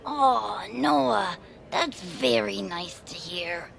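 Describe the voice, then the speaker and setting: A woman voicing an excitable, childlike, anxious amateur stage performer in a cartoonish audio drama, wide-eyed and earnest. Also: mid-range voice, drawn-out words, creaky, exaggerated voice. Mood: tender, earnest.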